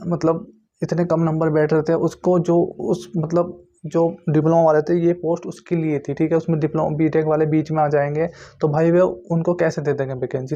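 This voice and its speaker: A man talks straight to his viewers in an informal explainer style. His voice sits slightly high yet has a soft, dark tone. He hammers points home by repeating them, and the sound is somewhat dull and lo-fi. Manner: repetitive, insistent explaining with frequent check-in tags. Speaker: YouTube host giving exam recruitment updates. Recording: muffled lo-fi recording.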